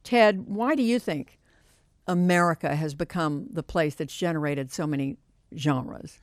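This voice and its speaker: Dry-sounding male host, halting phrasing. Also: on the high side, dry recording. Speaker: host, moderator. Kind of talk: interview questioning.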